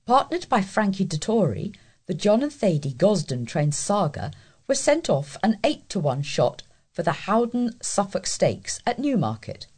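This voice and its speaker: A woman's voice: medium pitch, darkish tone, slightly rough. A woman reading scripted local news as a volunteer newsreader.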